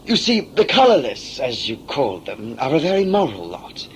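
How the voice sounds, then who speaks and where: A man's voice: medium pitch, huge pitch swings, moderate pace, slight background noise, rough recording. A man playing a smug, talkative villain in a sci-fi audio drama, a covert saboteur who is condescending and mock-polite. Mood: gloating.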